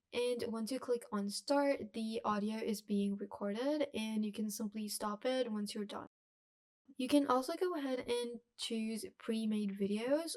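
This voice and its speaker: Higher-pitched woman presenting a tutorial. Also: a little mellow. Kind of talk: scripted software tutorial narration.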